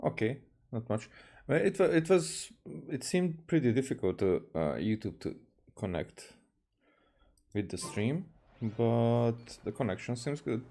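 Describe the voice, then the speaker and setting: Man, Hungarian accent, game streamer, slow, halting, lots of fillers. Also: medium pitch, big pitch swings, mellow. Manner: halting, with many fillers and restarts. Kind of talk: casual livestream commentary, reading game dialogue aloud.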